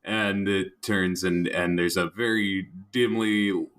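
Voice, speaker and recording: A man's voice: low voice, fairly even intonation, slow, a bit bright. A man playing a tabletop game, casually improvising his character's story with polite, tentative phrasing.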